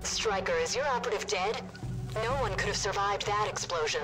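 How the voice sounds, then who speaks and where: A woman's voice: mid-range voice, brisk, natural voice, low-quality recording. A woman playing a stern government agent in a video game, formal and challenging. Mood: skeptical. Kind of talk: video game cutscene acting. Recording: loud game music behind.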